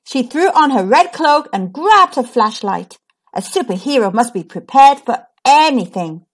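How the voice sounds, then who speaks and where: A woman narrates a picture book for children in a high voice. The intonation swings widely, with dramatic and deliberate phrasing that plays up the story. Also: big pitch swings. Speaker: storybook reader. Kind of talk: expressive scripted read-aloud for children.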